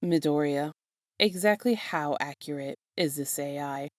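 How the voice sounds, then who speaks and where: A woman voicing a weary, stern male schoolteacher in a measured deadpan while reading a story. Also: low voice, no echo, studio quality. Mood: wary, stern.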